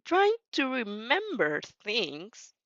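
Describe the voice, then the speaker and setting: Young woman, non-native English speaker, slow rambling stream chat, hesitant and giggly, lo-fi sound. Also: fairly high pitch, huge pitch swings, fairly bright tone. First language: not English. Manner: hesitant, giggly, self-correcting. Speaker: host reacting to fan fiction. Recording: dull lo-fi mic, faint music behind.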